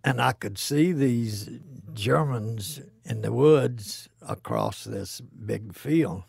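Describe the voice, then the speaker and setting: An elderly man recounting a personal war memory in halting, short phrases. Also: medium pitch, very animated, vocal fry, a little mellow, breathy edge, dry recording, clean studio sound. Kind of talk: slow personal war recollection.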